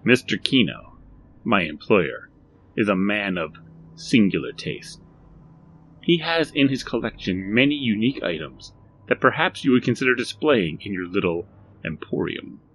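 A man voicing an eerie, overly formal little male stranger who speaks slowly and precisely. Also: lowish voice, big pitch swings, fairly bright tone, slight background noise, rough recording. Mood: measured.

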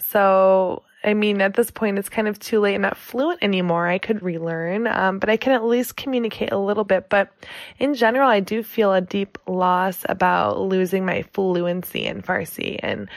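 A woman's voice: mid-range voice, dry recording, studio quality. A young woman from the United States, a podcast guest in casual conversation, thinking aloud with lots of "like" and "you know.".

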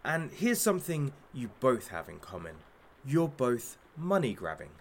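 A man's voice: mid-range voice, very animated, unhurried. An actor playing a masked kidnapper who hosts a sadistic hostage talk show in an audio drama, as a mocking, theatrical showman. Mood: cruel, taunting.

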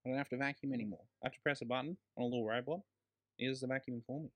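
An Australian man with a medium-pitched voice co-hosts a casual podcast about films. He talks like a bloke among mates, stressing his points and swearing freely. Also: fairly even intonation, racing. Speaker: podcast co-host. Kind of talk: casual film podcast chat.

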